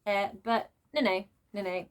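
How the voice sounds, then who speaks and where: A woman giving an unscripted book review as a rant, with an animated, sarcastic way of talking. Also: medium pitch, measured pace, clean tone. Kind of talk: unscripted book rant with read-aloud excerpts.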